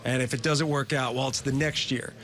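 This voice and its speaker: A man's voice: medium pitch, fairly even intonation, fairly quick, fairly bright tone, a little hiss or hum. A man hosting a sports TV interview, asking long, detailed questions of college players. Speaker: sports TV host.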